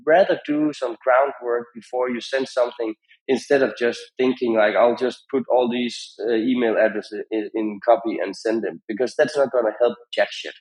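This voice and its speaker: A man giving conversational advice as a podcast guest, in halting phrases with frequent repetitions. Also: mid-range voice, fairly even intonation, poor audio. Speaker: podcast guest, founder of a radio monitoring company.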